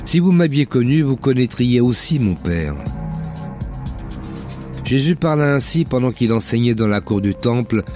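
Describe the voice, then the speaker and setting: A man with a cold and a slightly hoarse voice, hosting a radio quiz and reading Bible passages aloud with joking asides. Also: mid-range voice, expressive, moderate pace, over music. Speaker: radio host. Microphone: studio microphone.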